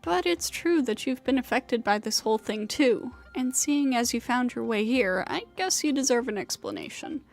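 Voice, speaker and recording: A woman's voice: on the high side, big pitch swings, moderate pace, gravelly, hardly any pauses. A woman voicing a burnt-out, self-doubting young female flying rider in a video game, weary and bitter as she vents. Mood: explaining, matter-of-fact. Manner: weary, venting, self-deprecating. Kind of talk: scripted video game voice acting. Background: game music.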